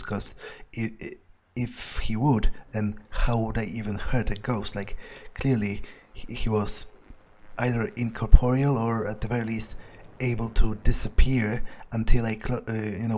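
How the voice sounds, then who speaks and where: A man's voice: fairly low pitch, darkish tone. A man roleplaying a game character in an improvised monologue, musing hesitantly to himself and swearing freely. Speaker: gamer roleplaying his character.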